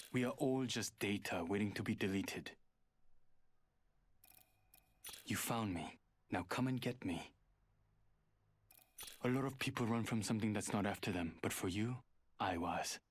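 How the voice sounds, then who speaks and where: A man's voice: on the low side, no echo. An actor voicing a guarded, arrogant male hacker in a video game, speaking in a terse, serious, superior way. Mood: stern, warning. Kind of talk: video game character voice lines.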